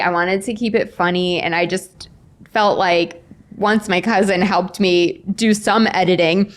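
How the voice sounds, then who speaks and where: A woman in her forties chatting casually as an interview guest, telling stories with acted-out dialogue and self-deprecating humor. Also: on the low side. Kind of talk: casual conversational storytelling.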